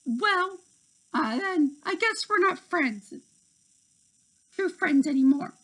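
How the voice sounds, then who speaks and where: Guy doing a tiny girl fairy from a storybook, squeaky high, hot tempered and petulant. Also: animated, slow pace, fairly bright tone, full character voice, poor audio. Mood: hurt, defiant. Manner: exclamatory, petulant. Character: feisty, quick-tempered little female fairy.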